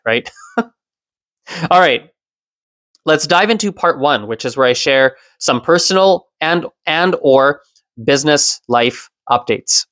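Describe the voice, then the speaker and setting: A man in his thirties hosting his own solo podcast, talking in a reflective, explanatory way with the odd soft laugh. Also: fairly high pitch, no room sound. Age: 30s. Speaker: podcast host.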